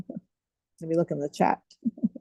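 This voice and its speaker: A woman's voice: low-pitched, slow pace, no room sound. A woman hosting an online group share over a video call, chatting casually with many fillers. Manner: warm, chatty, frequent fillers. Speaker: group host.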